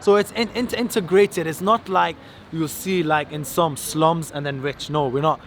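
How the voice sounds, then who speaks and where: A man's voice: a little high, fairly even intonation, moderate pace. A man from the UK with a British accent, acting as a chatty, informal local guide out on the street. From United Kingdom. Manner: chatty, informal, lists and explains. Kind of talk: casual guided-tour chat. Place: outdoors on city streets and in vehicles. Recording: noisy outdoor street and traffic.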